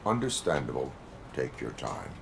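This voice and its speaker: Very deep, deadpan cyborg cop. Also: male voice, normal speed, vocal fry, background noise, heavily acted. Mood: flat, dutiful. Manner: formal, deadpan, stilted phrasing without contractions. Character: stoic male cyborg police officer, dutiful and literal-minded. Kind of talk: video game cutscene acting.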